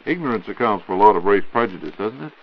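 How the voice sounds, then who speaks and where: Quick-talking American man on old radio. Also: fairly low pitch, animated, creaky, slightly rough. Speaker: co-host putting questions to the expert. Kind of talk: scripted 1940s radio dialogue. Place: radio studio. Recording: muffled 1940s radio recording.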